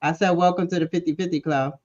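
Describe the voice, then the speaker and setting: A woman's voice: on the low side, fairly even intonation, fast talker, a little mellow, muffled recording. A woman, talking casually in a group chat.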